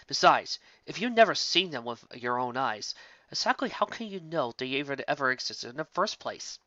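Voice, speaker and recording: A woman doing a smug, quiet sea creature. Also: rumbling low, sing-song, normal speed, no echo, highs cut off, exaggerated voice.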